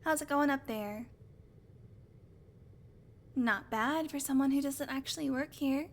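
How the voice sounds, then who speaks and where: Woman acting a high-voiced sardonic vampire woman. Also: unhurried. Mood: wry, casual. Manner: sarcastic, teasing, casually profane. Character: sardonic female vampire, unrepentant predator, teasing. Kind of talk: audio roleplay acting, conversational monologue to listener.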